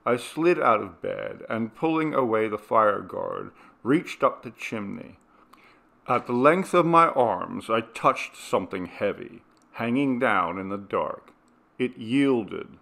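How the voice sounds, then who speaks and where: A male narrator reads a ghost story aloud in a measured, hushed manner. His low voice has a gravelly texture, and he paces every line very slowly. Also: lively intonation. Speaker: audiobook narrator.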